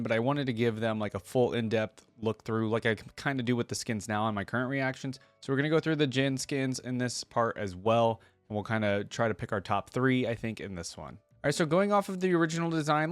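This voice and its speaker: A man's voice: medium pitch, dry recording. A man hosting a reaction video, talking casually and thinking aloud in a rambling, informal way.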